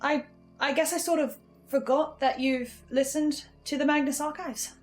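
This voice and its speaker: A woman's voice: high-pitched, fairly even intonation, normal speed, a little mellow, lightly acted. A woman playing an anxious, awkward archivist in an improvised horror drama, hesitant and often stammering or cutting herself off. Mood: wistful. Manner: hesitant, stammering, self-interrupting. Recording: music behind the voice. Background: music.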